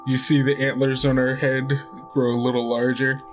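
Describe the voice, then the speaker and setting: A man's voice: mid-range voice, flat delivery, normal speed, soft background music. Casual man running a tabletop game. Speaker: game master. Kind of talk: casual narration of a tabletop role-playing game.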